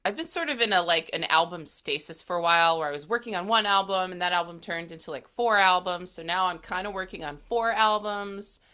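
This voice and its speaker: A woman's voice: lowish voice, a little fast, hardly any pauses, bright, forceful tone, clean tone. A young adult woman, a musician being interviewed, speaking casually in a laid-back conversational way. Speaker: musician guest, singer and guitarist.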